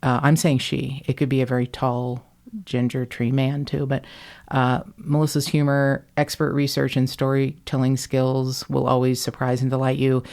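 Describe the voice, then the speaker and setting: Deep-voiced kentucky woman hosting a podcast, wry and chatty, drops a swear now and then. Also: completely flat delivery, normal speed, vocal fry, a little mellow. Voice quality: deep voice, often taken for a man on the phone. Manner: wry, swearing, laughs easily. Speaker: podcast host. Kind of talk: casual chat.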